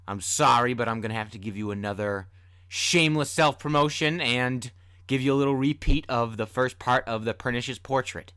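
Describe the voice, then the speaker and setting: A man's voice: medium pitch, brisk, pushed and bright, clear, resonant tone, no room sound, studio quality. A man hosting a podcast, talking casually and informally with self-deprecating asides. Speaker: podcast host and storyteller. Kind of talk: casual host talk.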